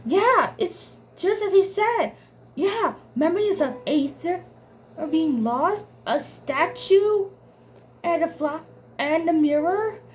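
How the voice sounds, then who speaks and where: A woman's voice: very high voice, expressive, slow pace, slight background noise. A woman reading dialogue aloud over video game play as a gameplay streamer. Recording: faint game music behind.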